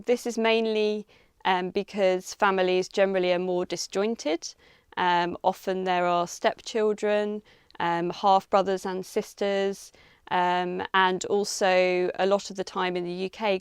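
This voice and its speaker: A woman giving her opinion in a measured way, with frequent ums. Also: medium pitch, fairly even intonation, clear, resonant tone, no room sound, clean studio sound. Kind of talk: casual spoken opinion.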